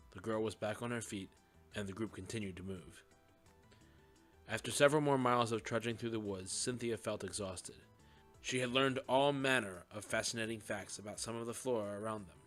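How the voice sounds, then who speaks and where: A man's voice: on the low side, a bit bright. A man giving scripted narration of a story in the third person.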